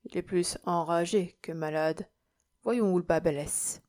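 Woman playing a calm, coaxing village priest. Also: fairly low pitch, close to an everyday voice. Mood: wry. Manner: gently admonishing, measured. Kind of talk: audiobook character acting.

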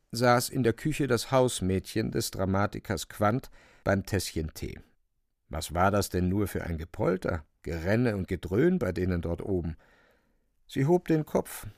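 A man's voice: lowish voice, animated, unhurried, gravelly, no echo, clean studio sound. A man narrating a literary radio drama in a dramatic, scripted storytelling style. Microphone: close, dry studio microphone.

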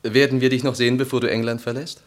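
An actor playing a troubled, earnest, hesitant husband in a radio play, speaking formally in halting phrases. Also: male voice, medium pitch, flat delivery, close to an everyday voice. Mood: gentle. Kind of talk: German radio play acting.

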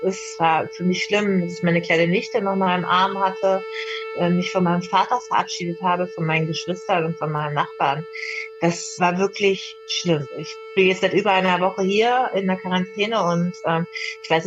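A woman's voice: lowish voice, fairly even intonation, moderate pace, dull audio, music in the background. A woman soldier giving a personal, spontaneous account in an interview. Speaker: soldier, UN military observer being interviewed.

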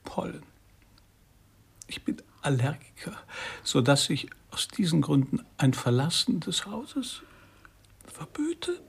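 An actor playing a fearful, reclusive writer in a radio drama, with a breathy voice and an aloof, precious manner. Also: male voice, a little high, wildly swooping pitch, slow pace, long pauses, in a whisper, heavily acted. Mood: timid, hushed. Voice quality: breathy, creaky. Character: reclusive, fearful, allergic male writer, disdainful of others. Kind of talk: German radio drama acting.

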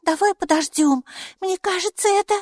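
A woman voicing a lively, stubborn, inquisitive little girl in a children's audiobook, speaking emphatically. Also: very high-pitched, normal speed, no room sound, clean studio sound, voice pushed up. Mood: amazed. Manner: emphatic, excitable, matter-of-fact.

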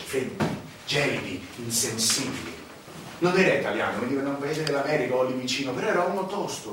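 A man on stage playing a brooding, self-justifying cleric in a theatrical monologue, declaiming with repetitions and deliberate pauses. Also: medium pitch, background noise, natural voice. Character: brooding, self-justifying male cleric, confessor to powerful schemer. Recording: echoey, distant stage recording with music behind.

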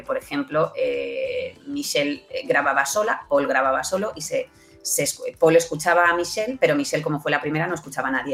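A woman's voice: sits low, creaky. A woman giving chatty, emphatic answers as an interview guest, repeating herself for stress. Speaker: guest, audio fiction producer.

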